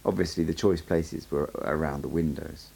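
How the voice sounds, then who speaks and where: Gravelly deep-voiced male audiobook narrator. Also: moderate pace. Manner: steady storytelling read. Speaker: audiobook reader.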